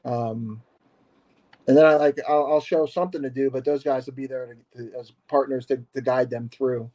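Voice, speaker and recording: A man's voice: mid-range voice, fairly even intonation, normal speed, warm tone, highs cut off. A man, a martial arts instructor, chatting as a podcast guest in a hesitant, easygoing way, often laughing. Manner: hesitant, many fillers and restarts, laughs easily. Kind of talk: casual podcast conversation.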